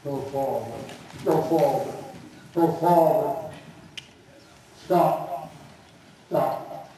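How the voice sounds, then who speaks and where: A male riding coach gives short, repeated commands in a slow, emphatic way. His voice is fairly high for a man and somewhat mellow. It comes from a distance across a very echoey covered arena. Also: slight background noise. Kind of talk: calling out instructions and critique during a show jumping clinic. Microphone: distant camera at the side of the arena.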